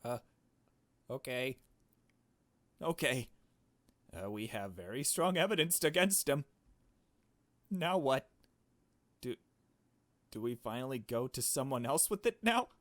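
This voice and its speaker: A man voicing an anxious young woman from a visual novel, nervous, halting and questioning. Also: medium pitch, sing-song, dry recording, pitched above the performer's own voice. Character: anxious, hesitant young woman, easily alarmed sidekick.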